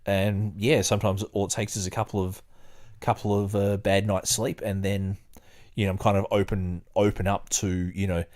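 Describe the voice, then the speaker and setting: A man with a fairly deep voice hosts a podcast. He talks casually in a hesitant, wandering way, and also reads passages aloud. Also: flat delivery, darkish tone. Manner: hesitant, halting, digressive when talking. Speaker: podcast host. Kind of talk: casual chat and reading aloud.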